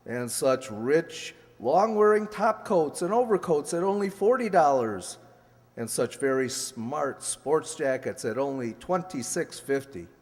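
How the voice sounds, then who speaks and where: A man as a slow, emphatic radio announcer. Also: a little mellow. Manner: emphatic, measured sales pitch. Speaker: sponsor announcer. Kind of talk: 1940s radio commercial reading. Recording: echoey stage hall.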